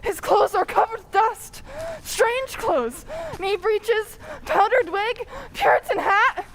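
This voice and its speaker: A woman's voice: very high-pitched, lively intonation, slow pace, a bit bright, exaggerated voice, rough recording. A woman playing a wary small-town villager in an old-time radio drama. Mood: alarmed.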